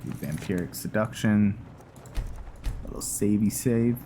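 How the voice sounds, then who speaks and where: Low-voiced, slow-talking man playing a game. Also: dark tone, a little hiss or hum. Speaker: gaming video host. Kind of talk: casual gameplay roleplay commentary. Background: game music.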